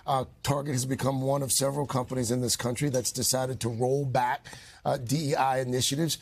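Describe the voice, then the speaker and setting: A man hosting a morning TV show, asking steady, plain questions. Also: medium pitch, brisk, no room sound. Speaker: TV host. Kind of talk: morning TV interview.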